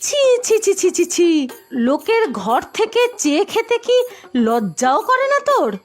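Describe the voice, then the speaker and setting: A woman's voice: very high-pitched, very animated, measured pace, soft background music, heavily acted. A woman voicing a spiteful, quarrelsome middle-aged village aunt in a cartoon, scolding and sneering. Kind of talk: cartoon voice acting.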